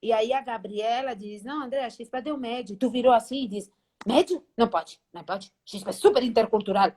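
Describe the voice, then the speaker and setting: A middle-aged woman from Spain with a Spanish accent, a guest on a video-call interview, telling animated stories and acting out old conversations. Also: a little high, fairly quick. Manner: animated, acts out past dialogues, frequent "né?" tags. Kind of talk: casual storytelling interview.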